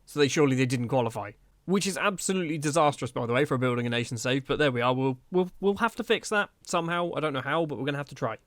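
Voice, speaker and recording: A man's voice: medium pitch, very fast, a bit bright, no room sound, studio quality. A man giving casual video game commentary as a host, chatty and rambling, often questioning himself as he goes.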